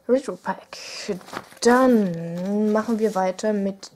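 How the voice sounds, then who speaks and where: A woman's voice: mid-range voice, a little mellow, a little hiss or hum. A young woman chatting casually as she unboxes stickers at her desk, hesitant with many fillers and small giggles. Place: indoors at a desk, home room.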